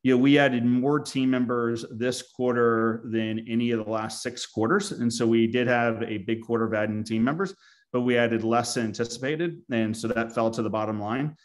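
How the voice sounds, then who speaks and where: A man with a medium-pitched voice speaks as a company executive. He is giving answers to questions on an earnings call. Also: fairly even intonation, normal speed.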